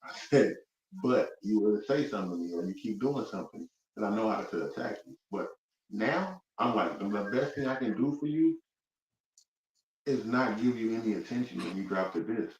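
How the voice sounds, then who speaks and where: A man's voice: lowish voice, normal speed, darkish tone, highs cut off, slight background noise. A man in his early forties co-hosting a casual podcast, laid-back and greeting viewers. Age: 40s. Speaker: podcast co-host. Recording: roomy and distant from mic.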